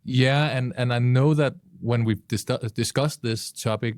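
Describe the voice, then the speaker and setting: A man's voice: mid-range voice, fairly even intonation, a little slow, darkish tone, smooth, no room sound, clean studio sound. A man on a podcast panel, reasoning aloud and giving his opinions conversationally.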